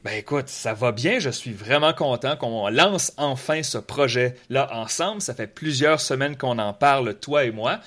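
A man's voice: medium pitch, moderate pace, hardly any pauses. Chatty Quebec French man hosting. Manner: relaxed, talkative, repeats words when searching. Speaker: podcast host, political columnist. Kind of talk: casual political podcast chat. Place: in Quebec City.

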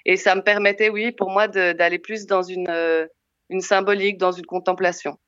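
A woman's voice: medium pitch, flat delivery, moderate pace, fairly bright tone, dry recording, dull audio. A Belgian woman film director, answering interview questions in a hesitant way with many fillers and restarts. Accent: Belgian French.

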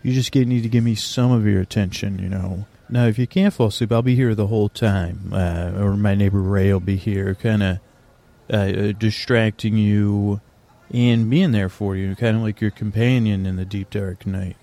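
A man hosting a sleep podcast, talking in a meandering, halting way full of restarts and fillers, recorded on location in a quieter corner of an airport. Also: on the low side, fairly even intonation. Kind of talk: rambling bedtime podcast talk.

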